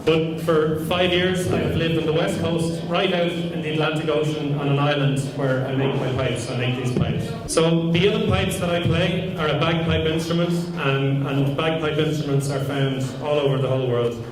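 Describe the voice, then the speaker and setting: A young Irish man giving an informal lecture, relaxed and lightly humorous, with frequent ums and restarts. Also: fairly high pitch, moderate pace, hardly any pauses, a crowd in the background. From Ireland. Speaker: lecturer and pipe maker. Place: large lecture hall with audience. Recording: very echoey hall, mic far off.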